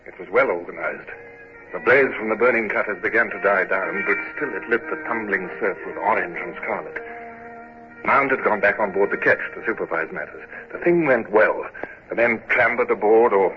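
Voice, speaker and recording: An actor playing a calm, commanding British naval commodore in a vintage radio drama, giving measured, dryly ironic orders and narrating. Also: male voice, lowish voice, over music, close to an everyday voice. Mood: reflective narration. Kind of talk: 1950s radio drama acting. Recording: muffled noisy old radio recording.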